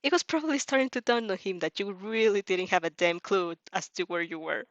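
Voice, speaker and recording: A woman's voice: medium pitch, a little fast, dry recording. Dull-sounding recording of a giggly woman reading. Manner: giggly, stumbles over lines, expressive. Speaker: reader reacting to fan fiction. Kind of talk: animated read-aloud with casual reactions.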